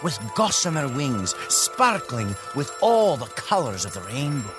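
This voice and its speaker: A fatherly storyteller in an audio drama. He is deliberate and theatrical, with wide, swinging intonation. Music plays clearly behind his unhurried delivery. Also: mid-range voice, big pitch swings. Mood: solemn storytelling. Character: fatherly storyteller reading to children, expressive, patient.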